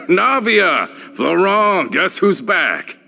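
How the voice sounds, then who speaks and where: A man playing a genial, talkative, rough-edged fellow just out of prison in a video game. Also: high voice, sing-song, very slow, pushed and bright, full character voice. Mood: cheerful. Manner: hearty, talkative.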